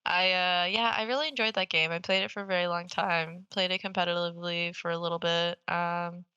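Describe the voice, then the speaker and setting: A woman's voice: on the low side, fairly even intonation, a little fast, pushed and bright, clean tone, no room sound, highs cut off. A young woman co-hosting a gaming podcast in casual, slangy chat, swearing freely and teasing playfully. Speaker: podcast co-host.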